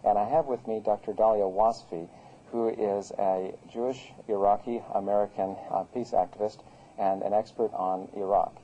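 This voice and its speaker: A man's voice: on the low side, fairly even intonation, moderate pace, mellow, lo-fi sound, a little hiss or hum. A man hosting an interview, asking questions politely and hesitantly with many uhs. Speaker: host, interviewer.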